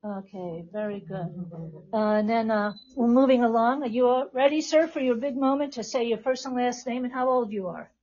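Female podcast host and teacher, reassuring, higher-pitched, captured in muffled lo-fi sound. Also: normal speed, a little mellow. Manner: encouraging, reassuring prompts. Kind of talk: live podcast hosting, addressing a class. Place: classroom with about twenty people.

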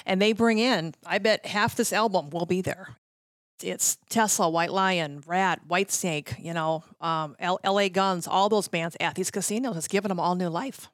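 A woman's voice: on the low side, moderate pace, no echo. Chatty middle-aged American woman, dry close sound. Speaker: guest recounting concert backstage stories. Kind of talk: casual reminiscing chat.

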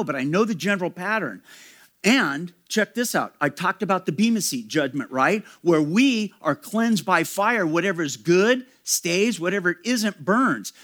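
A man's voice: high-pitched, fairly bright tone. A man preaching a sermon to his congregation, earnest and emphatic, speaking straight to his listeners. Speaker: pastor.

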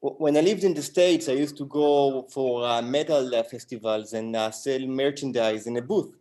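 A man's voice: medium pitch, moderate pace, mellow. Israeli-accented man telling stories casually. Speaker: band musician, interview guest. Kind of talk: casual interview chat, storytelling.

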